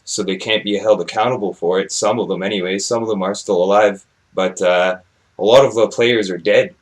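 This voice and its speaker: A man hosting an interview show in a casual, halting and agreeable way. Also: lowish voice.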